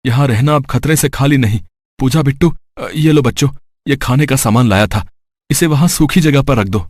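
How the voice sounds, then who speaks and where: A man's voice: medium pitch, normal speed, dry recording, studio quality, natural voice. A man voicing a poor, anxious labourer and father in a cartoon story, speaking earnestly. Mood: reassuring. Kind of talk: Hindi cartoon story character voice.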